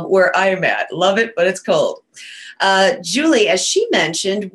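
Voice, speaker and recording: A woman's voice: low voice, a bit bright. A woman from Western New York, a psychic medium, chatting casually on a talk show with a nasal voice from a cold and frequent ums. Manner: chatty, loose, many ums and uhs.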